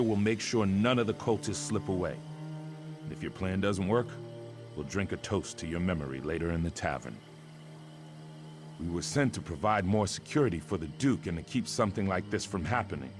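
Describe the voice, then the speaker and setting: An actor playing a gruff, seasoned army lieutenant in a fantasy video game, blunt and dryly humorous. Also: male voice, deep voice, lightly acted. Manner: blunt, dry. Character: gruff, dry-humoured male army lieutenant, seasoned soldier. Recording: music behind the voice.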